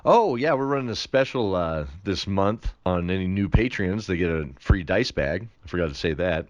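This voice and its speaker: Man playing a low-voiced, bumbling, forgetful producer. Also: expressive, moderate pace, muffled recording. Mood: distracted, breezy. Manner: bumbling, stammering. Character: absent-minded, overconfident show producer named Mike. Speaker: show producer and host. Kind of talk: scripted comic skit, conversational.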